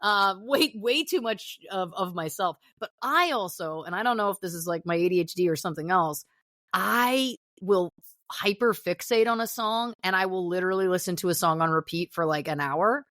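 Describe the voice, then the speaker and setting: A woman's voice: on the low side, animated, normal speed, a bit bright, dry recording, studio quality. A middle-aged woman chatting as a podcast guest, telling self-deprecating stories in a loose, rambling way. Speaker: podcast guest, actor and musician. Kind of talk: casual interview chat.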